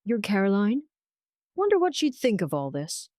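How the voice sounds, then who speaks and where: A woman's voice: mid-range voice, huge pitch swings, normal speed, dark tone, no echo, studio quality. A woman voicing a tender, resolute young survivor in an audio romance story, speaking earnestly and with measure. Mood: wondering.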